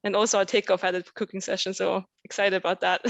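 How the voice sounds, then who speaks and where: An Austrian-born woman scientist introducing herself as a consultant on a panel, warm and quick to laugh. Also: mid-range voice, steady tone, no room sound. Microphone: video call.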